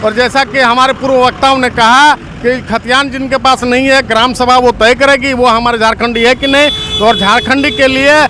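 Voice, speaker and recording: A man's voice: very high-pitched, fairly even intonation, normal speed, few pauses. A man with a Jharkhand accent speaking as an activist in a political interview, earnest and speech-like. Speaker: activist, movement leader. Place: outdoors at a road junction. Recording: noisy outdoor roadside recording. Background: loud traffic and vehicle noise.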